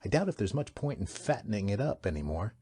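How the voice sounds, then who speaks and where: Male reader as a gruff commanding captain, blunt, lively delivery. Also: lowish voice, fast. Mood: brusque. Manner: brusque, commanding.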